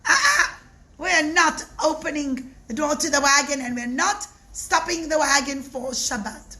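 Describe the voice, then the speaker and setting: A woman's voice: high-pitched, lively intonation, a little slow, sharp tone, heavily acted. A woman voicing a group of jeering male soldiers who mock their prisoner in a children's story. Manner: mocking laughter, taunting.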